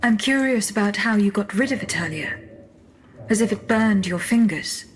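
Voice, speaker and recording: An actress playing a calm, curious female automaton investigator in a video game, polite and direct. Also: mid-range voice, moderate pace, close to an everyday voice. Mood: calm inquiry. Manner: composed, polite, direct questioning. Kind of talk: video game cutscene dramatic acting.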